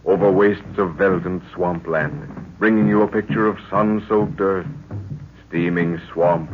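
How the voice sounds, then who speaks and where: A man's voice: sits low, steady tone, measured pace, background noise. A man narrating an old radio adventure serial as announcer, with portentous, theatrical phrasing. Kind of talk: dramatic 1940s radio scripted narration. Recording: muffled old radio recording.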